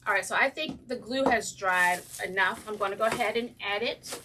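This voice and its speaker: Slightly raspy woman chatting while crafting. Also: medium pitch, moderate pace, pushed and bright, recorded from a distance, a little hiss or hum. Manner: chatty, greets viewers by name, frequent ums. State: slightly raspy from breathing trouble. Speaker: craft channel host. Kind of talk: casual livestream crafting chat.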